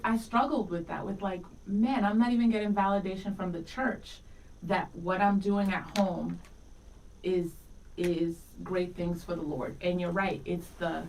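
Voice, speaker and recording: A woman's voice: mid-range voice, recorded from a distance, low-quality recording. A middle-aged woman talks candidly and reflectively as a radio co-host, in an easy conversational style. She sounds set well back from the microphone, with a faint bed of noise around her. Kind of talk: casual conversational radio talk.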